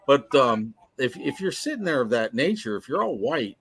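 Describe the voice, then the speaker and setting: Halting American man, close dry sound. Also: medium pitch, big pitch swings, vocal fry, a bit bright, slightly rough, no echo. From upstate New York, United States. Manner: halting, repeats words. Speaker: panelist. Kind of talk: casual panel discussion.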